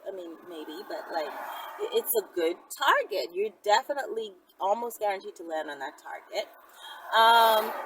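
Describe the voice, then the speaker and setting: A woman's voice: medium pitch, a little hiss or hum, rough recording. A woman talking casually and candidly to her viewers as a vlog host, with blunt, slangy, profane phrasing. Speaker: channel host answering viewers' questions.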